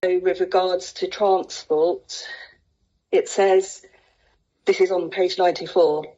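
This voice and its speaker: A woman's voice: on the low side, fairly even intonation, slow pace, warm tone. A woman at a meeting, reading aloud and commenting as a participant. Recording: dull lo-fi meeting recording.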